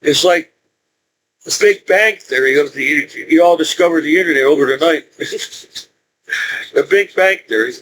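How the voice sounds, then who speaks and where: A man in his forties speaking in a rambling, halting monologue with frequent restarts and laughs. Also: a little high, fairly bright tone, slight background noise, rough recording. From United States. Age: 40s. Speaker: YouTuber.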